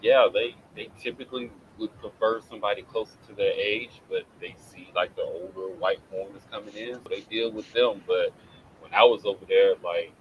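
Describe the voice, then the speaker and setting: A man's voice: fairly low pitch, brisk, highs cut off, a little hiss or hum. A man in a casual group chat, telling loose stories full of restarts. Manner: loose storyteller, lots of "like" and restarts.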